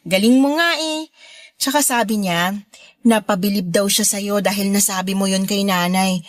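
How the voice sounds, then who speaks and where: An actress playing a supportive, affectionate married older sister in a Tagalog radio drama, with a Filipino accent. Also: female voice, mid-range voice, moderate pace, no room sound, studio quality, lightly acted. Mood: proud, cheerful. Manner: encouraging, affectionate.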